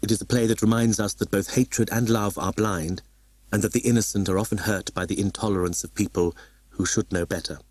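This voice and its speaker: A man's voice: on the low side, fairly even intonation, a little fast, darkish tone, dry recording. A man presenting a scripted introductory commentary on a play.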